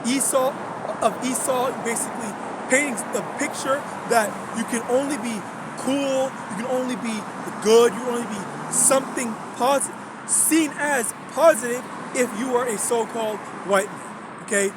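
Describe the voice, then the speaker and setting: A man's voice: extremely high pitch, moderate pace, background noise. A young man speaking in a casual monologue. He rambles, restarts, and keeps asking "okay?". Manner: rambling, repeats words, many fillers and "okay?" checks. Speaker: religious vlogger.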